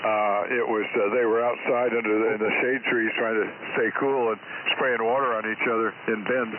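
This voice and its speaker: A man's voice: on the low side, little pitch movement, a little fast, phone-quality sound. A man of middle age or older in casual amateur radio conversation over a radio link. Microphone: ham radio transmission. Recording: thin radio signal, loud static hiss.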